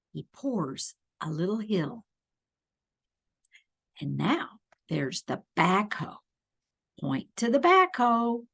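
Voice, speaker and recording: Very animated woman reading a picture book. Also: mid-range voice. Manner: animated, deliberate emphasis with playful asides to the child. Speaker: children's picture book reader. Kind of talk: expressive read-aloud for young children.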